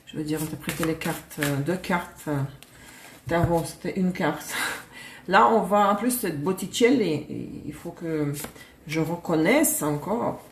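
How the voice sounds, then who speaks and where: A woman's voice: fairly low pitch, unhurried, slight background noise. A woman reading tarot cards on a live stream, chatty, laughing and correcting herself as she goes. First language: Russian. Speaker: tarot reader. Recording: echoey room.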